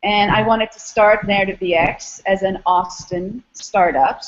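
A middle-aged woman from Istanbul, Turkey, giving explanatory interview answers as a guest, in measured, academic phrasing. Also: a little high, muffled recording. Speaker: interview guest, startup founder and former academic.

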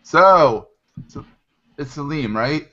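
A man's voice: fairly high pitch, lively intonation, slow, muffled recording, crowd noise. A man hosting an interview show in an informal, chatty, self-deprecating way, with casual ad-libbed delivery.

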